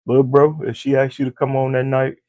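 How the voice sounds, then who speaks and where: A man co-hosting a podcast with his wife, with a deep voice, chatting casually and talking straight to listeners. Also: little pitch movement, brisk, darkish tone, no echo, highs cut off. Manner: laid-back, talks straight to listeners as y'all. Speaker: podcast co-host.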